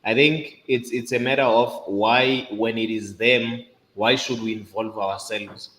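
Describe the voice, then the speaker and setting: A man's voice: mid-range voice, fairly even intonation, measured pace, fairly bright tone. A man making earnest points in a casual live-stream discussion, repeating them for emphasis. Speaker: discussion panelist. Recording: roomy echo with faint noise.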